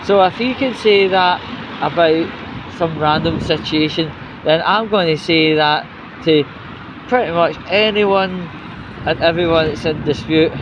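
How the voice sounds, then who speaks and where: A man's voice: on the high side, a little slow. A British man giving a casual, rambling, sweary vlog rant to viewers in an indignant, sarcastic manner. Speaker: vlogger. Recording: dull lo-fi recording with loud outdoor traffic noise.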